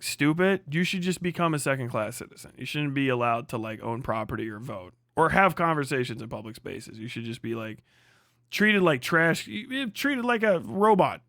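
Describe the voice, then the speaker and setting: A man with a slightly high voice talks in a close, dry sound as he hosts a livestream. He is blunt and sardonic, leaning on slang, profanity and hyperbole in a casual rant. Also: lively intonation, normal speed, sharp tone, no echo. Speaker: livestream host.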